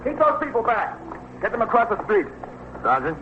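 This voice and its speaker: Male police captain for vintage radio crime drama: clipped, authoritative, lively intonation, noisy dated recording. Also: sits high, normal speed, phone-quality sound. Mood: commanding. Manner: terse, matter-of-fact, authoritative. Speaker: actor. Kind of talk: 1950s radio drama acting and narration.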